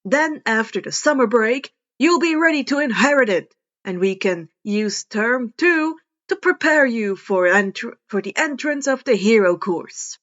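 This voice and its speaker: A woman's voice: on the high side, expressive, measured pace, fairly bright tone. A woman acts out an upbeat male hero teacher in a story podcast. He is earnest and encouraging, stressing his explanations. The sound is a little lo-fi.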